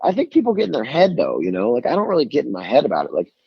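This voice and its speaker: A man's voice: medium pitch, huge pitch swings, very fast, gravelly, dull audio, noisy background. A man from Tennessee with a thick Southern accent, telling rambling, self-mocking stories as a guest on the phone from his home by a lake. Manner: rambling, self-mocking storyteller with many restarts. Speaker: guest musician. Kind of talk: casual phone interview storytelling.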